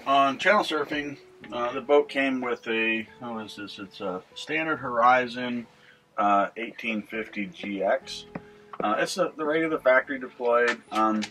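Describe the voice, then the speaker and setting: An older man hosting a boating video, talking casually and haltingly as he explains things inside a small boat cabin. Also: medium pitch, music in the background, low-quality recording. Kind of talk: casual explanatory vlog talk.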